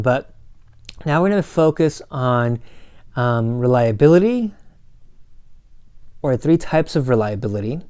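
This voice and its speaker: Male lecturer, slightly hoarse, mellow and smooth, casual with corny asides, dry close mic. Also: medium pitch, dry recording. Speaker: college instructor. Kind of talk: recorded lecture.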